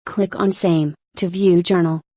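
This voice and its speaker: A woman reading scripted instructional narration for a software tutorial in a measured, step-by-step manner. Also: fairly low pitch, steady tone, dry recording, clean studio sound.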